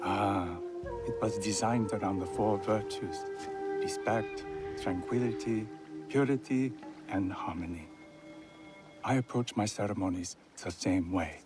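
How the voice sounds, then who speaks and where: An actor playing a courteous older Japanese tea master in a video game, measured, formal and gently instructive. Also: male voice, fairly low pitch, over music, natural voice. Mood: calm, reflective. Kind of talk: video game cutscene dialogue.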